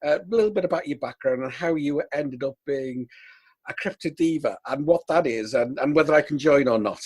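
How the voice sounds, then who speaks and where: A man hosting a podcast interview, introducing his guest in a halting, chatty way. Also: a little high, darkish tone, breathy edge, no room sound. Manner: halting, chatty, with fillers and restarts. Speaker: podcast host.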